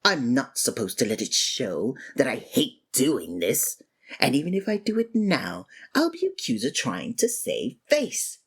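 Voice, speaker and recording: Breathy low-voiced woman in a villain roleplay. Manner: exasperated, theatrical villain monologue with sudden pitch swings. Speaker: ASMR voice actor playing a villain. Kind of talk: scripted ASMR roleplay.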